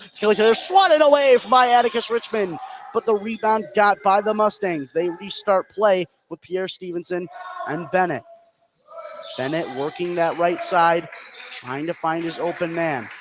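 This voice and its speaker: A man doing live play-by-play radio commentary on a basketball game, describing the action in short running phrases. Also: on the high side. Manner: clipped present-tense running description. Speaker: play-by-play sports announcer. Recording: live broadcast with clear background noise.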